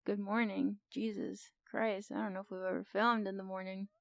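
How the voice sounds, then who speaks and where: Female co-host, enthusiastic and teasing, touch of vocal fry, dry close mic. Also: medium pitch, little pitch movement, moderate pace, dry recording. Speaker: podcast co-host. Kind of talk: casual film discussion.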